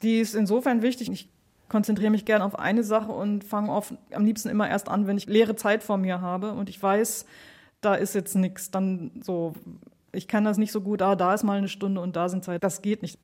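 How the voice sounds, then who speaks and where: A woman's voice: mid-range voice, fairly even intonation, moderate pace, darkish tone. A woman speaking spontaneously and informally in an interview, thinking aloud in loosely strung, reflective thoughts. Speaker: interviewed radio play maker, composer and performer.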